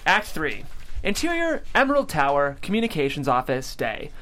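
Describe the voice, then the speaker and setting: A narrator reading stage directions in an animated way at a comedy script table read. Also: medium pitch, moderate pace.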